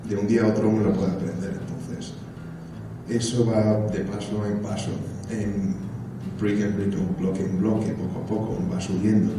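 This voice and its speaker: A man's voice: lowish voice, level tone, normal speed, over music. A man student speaking animatedly and emphatically in an interview. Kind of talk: testimonial interview. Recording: echoey room from far, noisy.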